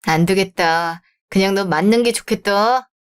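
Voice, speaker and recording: A woman's voice: mid-range voice, normal speed, smooth, natural voice. Brief: a woman playing a cheeky kid brother who mocks his sister, radio drama. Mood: teasing. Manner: teasing, sarcastic. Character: teasing younger brother, cheeky young man.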